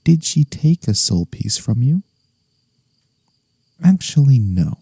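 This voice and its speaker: A man playing a gentle, honorable incubus demon in a soft-spoken ASMR fantasy roleplay, measured, formal and softly teasing. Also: medium pitch, big pitch swings, gravelly, husky, no echo, studio quality, exaggerated voice. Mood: probing, calm.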